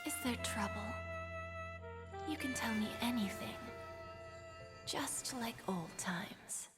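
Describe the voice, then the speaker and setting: An actress playing a poised, familiar senior businesswoman in a game cutscene, with a smooth, composed manner. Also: fairly high pitch, takes it slowly, over loud music. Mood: coaxing, familiar. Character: poised, ingratiating senior businesswoman greeting an old colleague.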